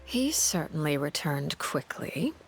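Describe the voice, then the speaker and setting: A woman playing a composed, calculating intelligence agent in a video game, speaking in a measured, formal, coolly polite manner. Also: deep voice, very animated, creaky, slightly rough, full character voice. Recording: music behind the voice.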